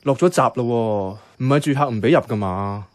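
A man's voice: mid-range voice, brisk, natural voice. An actor playing a bemused, reluctant young man who narrates and talks in a radio drama, wry and questioning, with a Hong Kong Cantonese accent. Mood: wry narrating. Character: bemused, reluctant young male companion narrating a night walk.

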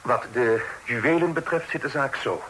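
Man playing a courteous, bright-voiced earnest gentleman. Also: mid-range voice, moderate pace, lightly acted, poor audio. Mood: earnest, explanatory. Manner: polite, formal, explanatory. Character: courteous, earnest young gentleman, eager to explain. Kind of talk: period radio drama acting. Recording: hissy old radio play recording.

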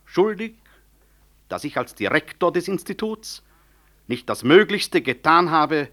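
An actor in a 1960s German radio play, playing a proud, defiant professor and director who speaks in formal, ironic rhetoric. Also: male voice, fairly high pitch, sing-song, a little slow, bright, forceful tone, exaggerated voice. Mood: defiant, indignant.

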